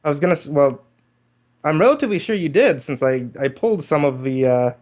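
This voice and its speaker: A man's voice: fairly high pitch, expressive, fairly quick, darkish tone. A man hosting a livestream in casual group chat, with hesitant, rambling explanations. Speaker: livestream host.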